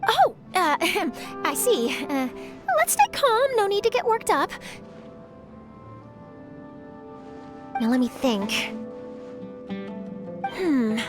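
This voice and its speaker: A woman's voice: very high-pitched, big pitch swings, slow, long pauses, faint music behind, exaggerated voice. A woman voicing an eager young female news reporter in an anime-style game, perky and inquisitive. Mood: awkward, musing.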